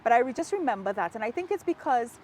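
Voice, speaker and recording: A woman's voice: fairly high pitch, sing-song, quick pace, slight background noise. Trinidadian woman author, reflective. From Trinidad and Tobago. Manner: warm, reflective, laughs easily. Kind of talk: outdoor interview. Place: outdoors in a park, rain falling.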